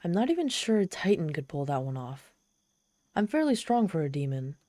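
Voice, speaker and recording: Casting: woman to play haughty trapped demoness for audio roleplay; lowish, dark mellow voice, tsundere pride over desperation. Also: sing-song, normal speed, no echo, clean studio sound. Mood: patient, explaining. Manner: haughty, tsundere, speaking directly to the listener. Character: proud, desperate, socially awkward trapped female demon. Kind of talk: scripted ASMR audio roleplay.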